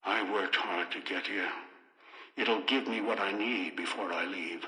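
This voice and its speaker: A man's voice: deep voice, measured pace, bright, forceful tone, heavily acted. A bitter, obsessive old male schemer from a video game. His voice is hard and piercing, coming through speakers with long hall reverb. He issues terse, menacing orders as if used to being obeyed. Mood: obsessive, gloating. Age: older. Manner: terse, imperious, ominous, clipped orders. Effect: voice over radio and speakers.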